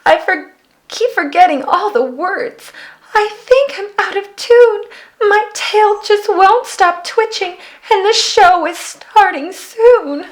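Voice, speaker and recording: Lady doing a nervous lil mermaid from a kids book, really high voice, shy, halting. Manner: halting, faltering. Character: shy, nervous young female mermaid with stage fright. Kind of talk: children's picture book read aloud.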